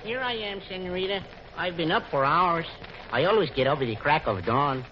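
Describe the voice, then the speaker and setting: An actor playing a lazy, wisecracking Mexican sidekick in broken English, with a Mexican accent, in 1940s radio comedy. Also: male voice, a little high, expressive, moderate pace, lightly acted. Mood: joking. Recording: muffled noisy 1940s radio, studio audience.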